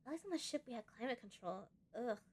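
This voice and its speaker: A prissy young girl from a space colony game, played by a woman reading aloud. She is bossy and fussy and quick to complain, with a fairly high voice that swoops around. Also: animated. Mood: whiny complaining.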